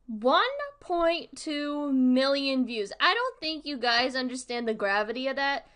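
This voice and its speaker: Chatty woman streamer with a higher voice. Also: animated, a little slow, fairly bright tone. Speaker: streamer hosting a discussion. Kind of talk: casual livestream commentary to viewers.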